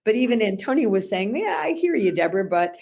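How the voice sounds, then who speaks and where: A woman co-hosting a discussion show, talking in long, animated run-on thoughts. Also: mid-range voice, quick pace, dull audio, a little hiss or hum. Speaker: podcast co-host. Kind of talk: casual discussion.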